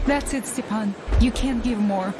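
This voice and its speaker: An actress playing a worried, capable young medic in a video game, speaking urgently and decisively. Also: female voice, a little high, measured pace, heavy background noise, natural voice.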